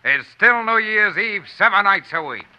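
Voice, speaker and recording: An actor playing an excited man about town in a 1940s radio vignette. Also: high-pitched, normal speed. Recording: dull, slightly noisy vintage radio.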